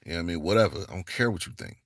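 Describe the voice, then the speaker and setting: A man's voice: low voice, lively intonation, very fast, gravelly, dry recording, studio quality. A young man from the northeastern United States giving a rambling, laid-back monologue full of fillers and slang. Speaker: channel host. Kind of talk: casual monologue vlog.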